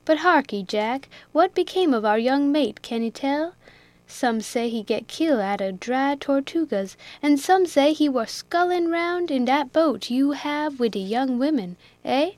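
A woman's voice: high voice, lively intonation, unhurried, darkish tone, no room sound, voice pushed up. Woman doing a fat male galley cook, rustic dialect, coaxing, dry close voice. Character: fat sleek male ship's cook, approving.

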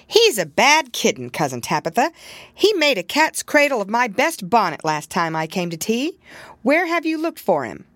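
A firm, no-nonsense neighbor cat talks in a brisk, scolding voice, as a woman puts her on. She takes charge at once. Close and dry storybook reading. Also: on the high side, sing-song, a bit bright, dry recording, voice pushed up. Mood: stern. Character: brisk stern neighbor cat, middle-aged, bossy.

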